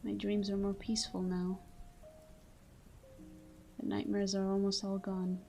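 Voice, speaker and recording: Casting: actress as tender, brooding female vampire for sleep-aid audio drama; mellow, even-pitched, hushed and intimate delivery, light music underneath. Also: a little slow. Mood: tender, haunted. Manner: soft, tender, breathy murmur slipping into whispers. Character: caring, tormented female vampire lover watching her sleeping partner. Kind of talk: intimate scripted ASMR roleplay monologue.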